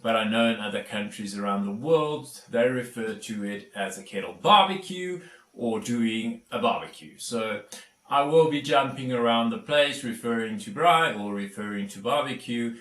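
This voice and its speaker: A man's voice: mid-range voice, animated, normal speed, fairly bright tone, reverberant, distant mic. A South African man whose first language is Afrikaans, hosting a casual DIY vlog and explaining each step with plenty of fillers. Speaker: YouTube DIY host.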